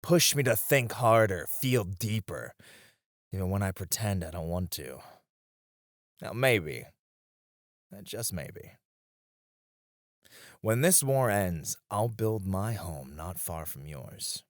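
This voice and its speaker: A low-voiced, battle-weary knight acted by a man speaks slowly and intimately, as in a romantic audio roleplay. He sounds tender, wistful and devoted, with hesitant phrasing, in a close, dry recording. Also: lively intonation, no echo. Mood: tender, musing. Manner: soft, intimate, hesitant with small chuckles.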